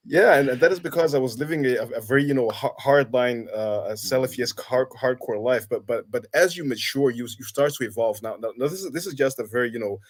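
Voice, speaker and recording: A man in his thirties from Kenya talks in a relaxed conversation. He pauses often, restarts his sentences and searches for the right word, and his voice stays at an ordinary middle pitch. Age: 30s. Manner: halting, many restarts and fillers. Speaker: guest. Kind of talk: casual conversation. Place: Denmark.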